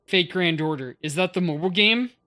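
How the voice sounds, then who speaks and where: A livestreamer talks casually with viewers while playing a game. The voice is mid-pitched, and the manner is curious and inquisitive, like someone thinking out loud. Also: animated, brisk.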